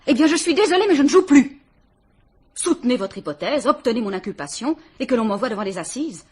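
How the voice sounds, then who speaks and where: A haughty suspected murderess, husky voice, icy and sarcastic, old radio play. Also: female voice, fairly high pitch, expressive, fairly quick. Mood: defiant. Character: cool, defiant woman suspected of murder. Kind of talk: vintage radio drama acting.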